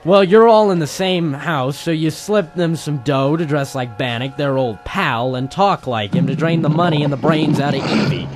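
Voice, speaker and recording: A man's voice: a little high, normal speed, few pauses, close to an everyday voice. Man playing a hardboiled private eye over music. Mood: accusing. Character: hardboiled male private detective, weary, wry and accusing. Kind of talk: noir detective radio drama, first-person narration.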